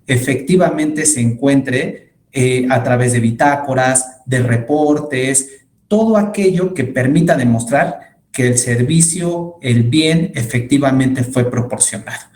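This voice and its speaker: Mexican guy on a webinar, roomy echo, medium pitch, explains things in a formal orderly way. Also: unhurried, a little mellow. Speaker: tax expert panelist. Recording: echoey room sound.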